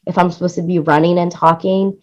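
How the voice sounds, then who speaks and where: A woman's voice: on the low side, a little fast. A woman being interviewed about her audio production work, explaining casually and animatedly, often checking for agreement. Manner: animated explaining, acts out quoted lines, seeks agreement with "right?". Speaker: interview guest, music supervisor and audio producer.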